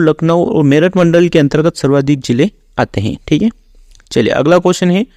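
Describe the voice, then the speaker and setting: A man with a fairly high-pitched voice teaches for a competitive exam. He reads out questions and factual explanations in an orderly, instructional routine. The sound is very dry, with no room echo. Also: darkish tone. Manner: instructional, reading out facts in a set routine. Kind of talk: reading quiz questions with explanations.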